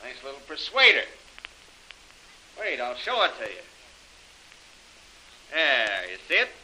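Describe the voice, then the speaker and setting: A man's voice: medium pitch, wildly swooping pitch, a little slow, pushed and bright, exaggerated voice, rough recording. An actor playing a menacing gang boss with sneering tough-guy threats, in an old-time radio adventure serial. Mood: cold menace. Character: menacing male gang boss bullying a captive woman. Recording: hissy vintage radio recording.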